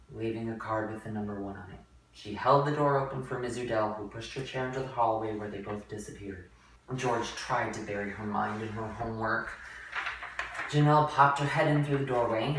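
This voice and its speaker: A man's voice: fairly low pitch, a bit bright. A man narrating a children's novel as a dramatic, scripted reading. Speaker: narrator, actor. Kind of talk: dramatic audiobook-style narration. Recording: very echoey room, distant mic, faint noise.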